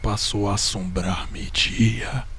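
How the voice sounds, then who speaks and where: A man with a Brazilian accent playing a nervous, obsessive madman in a gothic horror audio drama, intense and deliberate, often dropping toward a whisper. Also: lowish voice, steady tone, sharp tone, slightly rough, full character voice. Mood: nervous, insistent. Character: nervous, obsessive madman confessing murder, insisting he is sane.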